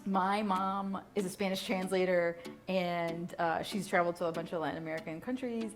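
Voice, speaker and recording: A woman's voice: fairly low pitch, normal speed. Chatty woman hosting, faint music. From Mexico. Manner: chatty and animated, laughs easily, many fillers. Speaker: host. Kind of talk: casual interview chat. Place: restaurant.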